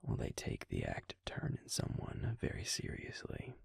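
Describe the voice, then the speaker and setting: A man playing a worried, protective, gruff but caring vampire in an intimate audio roleplay, with a breathy, gravelly murmur and a halting, earnest, pleading manner. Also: no echo, whispered, clean studio sound, lightly acted. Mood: apologetic, weary.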